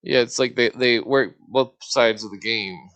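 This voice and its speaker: A man hosting a casual, rambling discussion, speaking haltingly and stammering over his words. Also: medium pitch, fairly bright tone.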